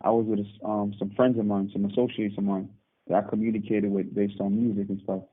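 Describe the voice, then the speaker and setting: A man in his thirties from Barbados, calling in from prison and telling his story calmly, with hesitant restarts. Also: lowish voice, a little fast. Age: 30s. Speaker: guest. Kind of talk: phone interview. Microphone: phone call. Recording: tinny phone line.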